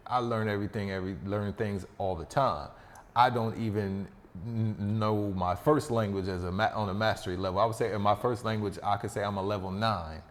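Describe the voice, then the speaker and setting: A man appearing as a podcast guest, telling his stories with confident, boastful swagger. His voice is low, and it trails off into a long reverb tail. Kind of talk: casual interview chat.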